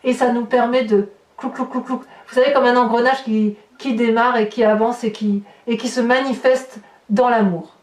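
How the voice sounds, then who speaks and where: A woman with a fairly high voice talks viewers through an improvised tarot reading. She addresses them directly and expressively. The sound is very roomy, with the microphone some way off. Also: steady tone, normal speed. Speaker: tarot reader hosting a horoscope video. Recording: roomy echo, distant microphone.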